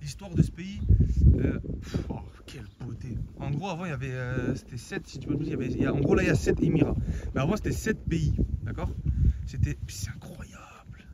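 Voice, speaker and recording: A man from Algeria vlogging casually on a road trip, slangy and excitable, talking straight to his viewers on a handheld camera. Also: a little high, a little fast. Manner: slangy, excitable, talks to viewers as "les gars". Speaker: travel vlogger. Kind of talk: casual travel vlog to camera. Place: outdoors and indoors on a road trip, hotel villa and restaurant. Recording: loud background noise. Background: loud ambient noise and vehicles.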